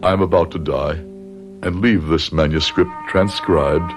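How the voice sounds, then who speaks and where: An older professor near death, narrating a radio drama. His voice is low and grave, solemn and remorseful in measured phrases, with music clearly behind him. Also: fairly even intonation. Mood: grave, resigned.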